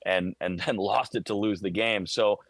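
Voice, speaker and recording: A man's voice: on the low side, steady tone, moderate pace, vocal fry, fairly bright tone, no room sound. An American man working as a radio play-by-play broadcaster, giving detailed, conversational sports analysis live and stammering over word starts.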